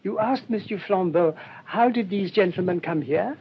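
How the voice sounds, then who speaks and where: Shrewd mild priest, English accent, slightly high voice, polite hesitant manner, radio play, noisy recording. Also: male voice, normal speed. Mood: calm, quietly confident. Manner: gentle, rambling, politely hesitant. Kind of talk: radio drama acting. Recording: dull lo-fi radio recording, rumbling noise.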